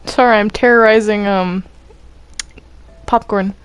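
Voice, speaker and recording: A woman's voice: medium pitch, fairly even intonation, measured pace, smooth, poor audio. A woman chatting casually while gaming, giggly and rambling as she reacts aloud. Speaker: player in a Minecraft roleplay group.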